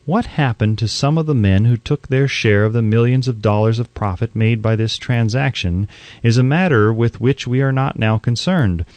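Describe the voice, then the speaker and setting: A man reading an audiobook in a measured, articulate storytelling delivery, close on the microphone. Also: medium pitch, a little mellow, dry recording. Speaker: audiobook narrator. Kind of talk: scripted audiobook narration.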